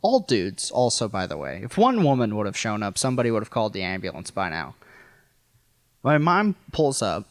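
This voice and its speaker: A man's voice: lowish voice, wildly swooping pitch, normal speed, no echo, studio quality. American man telling a wry comic story. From rural Missouri, United States. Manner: wry, self-deprecating, profane. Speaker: podcast guest storyteller. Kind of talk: casual comedic personal storytelling.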